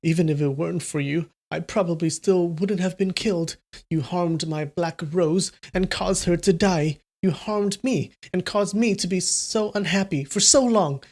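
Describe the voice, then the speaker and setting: A man reading aloud and voicing a distraught young woman in a novel, with a shrill, wailing, petulant delivery. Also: moderate pace, a little mellow. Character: young woman, weeping and stubborn.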